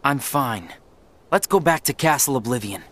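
A man's voice: medium pitch, animated, normal speed, a bit bright, natural voice. A young man voicing an earnest young hero. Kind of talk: video game cutscene voice acting. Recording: game audio with faint music.

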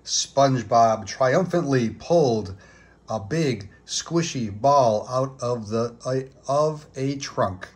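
A man reading a children's book aloud in an expressive, deliberate storytelling style. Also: medium pitch.

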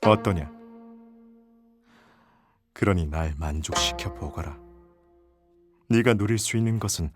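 A man plays a wealthy Joseon nobleman in a historical audio drama, speaking very slowly in a lowish voice. He is an indulgent patron, teasing and gently commanding in old aristocratic phrasing. Traditional Korean music plays behind him. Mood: indulgent, persuasive. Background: traditional Korean court music.

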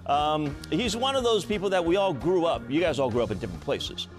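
A man's voice: fairly high pitch, sing-song, brisk, soft background music. A middle-aged man hosting a late-night talk show in an effusive way, often repeating and restarting his phrases. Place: TV studio.

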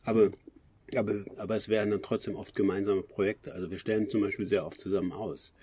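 A man's voice: fairly low pitch, fast, a crowd in the background. A man in his sixties from the Ruhr area, a sculptor chatting loosely as a guest with many restarts. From Ruhr area, Germany. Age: 60s. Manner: relaxed, rambling, many restarts. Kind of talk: casual conversation.

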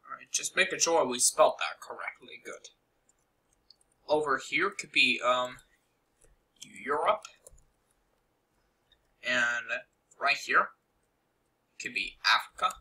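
A man's voice: medium pitch, animated, slow, long pauses, bright, forceful tone, low-quality recording. A young man casually narrating a game-building tutorial over a screen recording, rambling with many fillers and asides.

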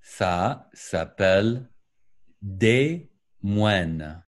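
A middle-aged man from the United States answers over a video call from his home, speaking French with an American accent. He places each word slowly and deliberately, in a fairly low voice. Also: animated. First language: English. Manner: careful, deliberate learner's French. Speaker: guest, video editor. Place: home room with paintings and lamp, indoors. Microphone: webcam on a video call.

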